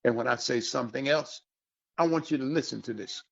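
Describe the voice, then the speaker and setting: A man's voice: medium pitch, brisk, gravelly. A man hosting a radio show, giving an emphatic promotional talk straight to listeners in an upbeat style. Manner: emphatic, addresses listeners directly. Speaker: radio host. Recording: dull, slightly lo-fi audio.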